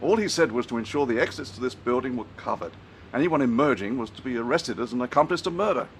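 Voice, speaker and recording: An actor playing a loyal, earnest gentleman doctor and detective's companion, speaking formally and with measure in a film drama. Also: mid-range voice, animated, fast talker, slight background noise, natural voice. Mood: earnest, steady. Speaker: actor, narrator. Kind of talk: dramatic narration and film dialogue.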